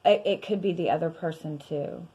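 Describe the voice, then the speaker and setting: Low-voiced woman losing her voice. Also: normal speed, dull audio. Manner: chatty, rambling, many fillers and soft laughs. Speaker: tarot reader. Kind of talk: casual tarot reading vlog.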